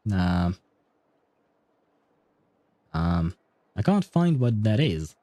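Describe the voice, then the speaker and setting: A man's voice: low-pitched, big pitch swings, drawn-out words, dark tone, dry recording. A man rambling casually on a game livestream, thinking aloud as he answers his viewers.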